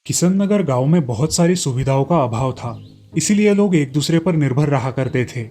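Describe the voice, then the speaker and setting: Higher-voiced man narrating a folk tale. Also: lively intonation, moderate pace, dark tone. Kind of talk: scripted storytelling narration. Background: light background music.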